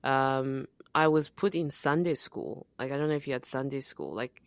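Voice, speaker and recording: A young adult woman from Zambia co-hosting a podcast, talking in a relaxed, reflective way with frequent fillers and laughs. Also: rumbling low, moderate pace, dry recording. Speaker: podcast co-host. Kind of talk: casual conversational podcast.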